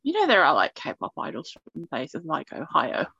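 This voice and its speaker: A woman's voice: deep voice, huge pitch swings, moderate pace, creaky, pushed and bright, husky, no room sound, lo-fi sound. A woman co-hosting a podcast in casual chat, rambling and chatty, laughing often. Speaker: podcast co-host.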